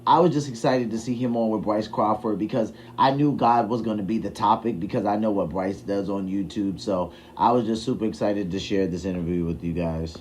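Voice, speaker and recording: A man's voice: fairly low pitch, steady tone, fairly quick, hardly any pauses, darkish tone, slight background noise. A man hosting a reaction show, talking casually and chattily about a clip he is sharing. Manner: chatty, folksy, faith-minded. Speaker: reaction channel host.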